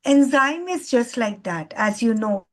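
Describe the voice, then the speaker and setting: A woman's voice: a little high, lively intonation, measured pace. A middle-aged Indian woman whose first language is Hindi, giving an earnest, rambling talk with many fillers and restarts as a guest trainer over a video call. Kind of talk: online lecture.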